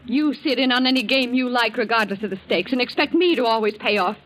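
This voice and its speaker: An actress playing a bitter, ailing rich wife who scolds sharply in a 1940s radio drama. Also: female voice, fairly high pitch, fast talker, lightly acted. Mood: scornful anger. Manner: sharp, scornful, accusing. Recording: old 1940s radio broadcast.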